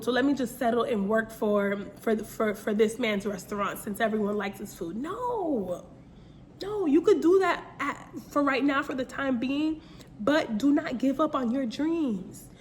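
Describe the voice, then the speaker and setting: A young woman with a somewhat high voice gives a casual faith-based pep talk straight to her listeners. She circles back on her points, repeats phrases and fires rhetorical questions. A faint hiss sits under the recording. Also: measured pace. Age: young adult. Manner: earnest, repetitive, direct address with rhetorical questions. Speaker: motivational speaker.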